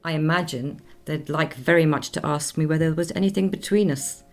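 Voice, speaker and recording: An actress playing a dignified nineteenth-century Swedish opera singer in a radio play, speaking in a measured, formal, reflective way. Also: female voice, sits low, soft background music. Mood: proud, guarded.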